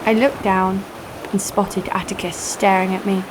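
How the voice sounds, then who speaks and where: A woman's voice: mid-range voice, flat delivery, measured pace, background noise, lightly acted. An actress playing a bold, sarcastic young female adventurer who tells her own tale in an audio drama. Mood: wry storytelling. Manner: sarcastic, dry. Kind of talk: first-person audio drama narration. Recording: music under the voice. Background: instrumental music.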